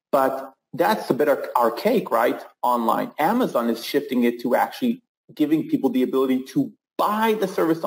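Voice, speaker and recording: Gravelly-voiced man calmly explaining. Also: medium pitch, low-quality recording. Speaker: co-presenter. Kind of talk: sales webinar explanation.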